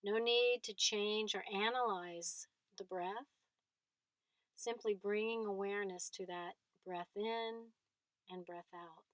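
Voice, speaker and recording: A woman leading a guided body scan meditation, speaking in gentle short phrases with long pauses between them. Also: mid-range voice, fairly even intonation, no room sound, low-quality recording.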